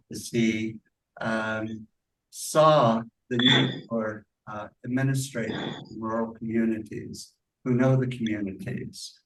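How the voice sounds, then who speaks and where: An older man giving an informal tribute speech in a halting, reflective way with long pauses. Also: mid-range voice. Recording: distant mic with clear background noise.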